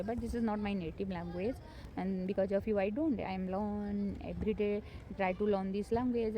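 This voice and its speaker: A woman's voice: medium pitch, background noise. A woman whose first language is not English gives unscripted learning tips as a homemaker, hesitant and encouraging, with many fillers and restarts. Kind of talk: unscripted motivational talk to camera. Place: home.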